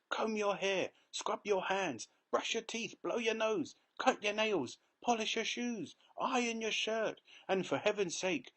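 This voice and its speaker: A man voicing an excitable old grandfather in a storybook, shouting with joy. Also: high voice, pitched above the performer's own voice. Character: excitable old grandfather, frail but overjoyed.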